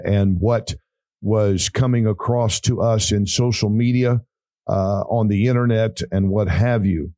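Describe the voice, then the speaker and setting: A man who pastors and hosts a podcast, talking earnestly in a folksy preaching style, his voice raspy and hoarse. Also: on the low side, darkish tone, no echo. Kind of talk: casual preaching podcast talk.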